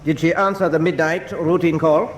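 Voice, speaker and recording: An actor playing a stern, exacting German admiral in a radio drama, clipped, formal and commanding. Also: male voice, a little high, fairly even intonation, moderate pace, a little hiss or hum, lightly acted. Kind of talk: full-cast radio drama acting.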